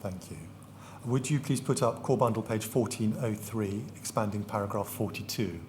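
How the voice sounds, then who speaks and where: Measured man questioning formally. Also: fairly low pitch, darkish tone, slight background noise. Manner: measured, precise, courteous. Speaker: inquiry counsel questioning a witness. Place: large public hearing hall.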